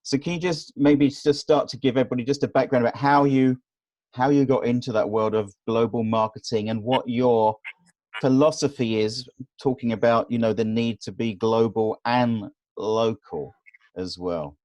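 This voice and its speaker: A man's voice: mid-range voice, steady tone, normal speed, darkish tone, dry recording, poor audio. A man hosting an interview, asking long questions in a conversational way. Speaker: interviewer, host.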